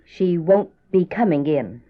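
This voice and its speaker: An actress playing a middle-aged mother, formal, measured and firm, in an old-fashioned radio drama style. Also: on the low side, expressive. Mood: accusing. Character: proper, measured mother of grown daughters, dutiful but questioning. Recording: dull lo-fi cassette radio drama.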